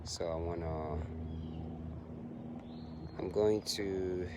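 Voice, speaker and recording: A deep-voiced man muses unhurriedly into his own camera while out on a park trail, pausing often between thoughts. The outdoor recording carries a clear layer of background noise. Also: fairly even intonation. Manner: soft-spoken, musing, halting with pauses. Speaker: vlogger and long-distance runner. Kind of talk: casual reflective vlog while out jogging. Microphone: handheld camera, self-filmed.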